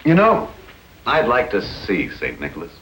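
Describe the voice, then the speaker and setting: An actor playing a whimsical, affectionate husband and father in a 1950s television drama, with gentle, theatrical storytelling phrasing. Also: fairly low pitch, wildly swooping pitch, moderate pace, slight background noise, low-quality recording. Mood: fond, dreamy. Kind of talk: 1950s television drama acting and verse recitation. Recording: vintage 1950s TV soundtrack. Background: music.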